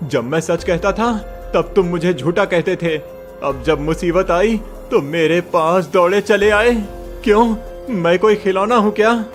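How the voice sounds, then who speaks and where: A man's voice: sits high, a little slow, faint music behind. A man voicing a poor, honest village man in a cartoon moral story, burdened and despairing, speaking plaintively. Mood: hurt, bitter.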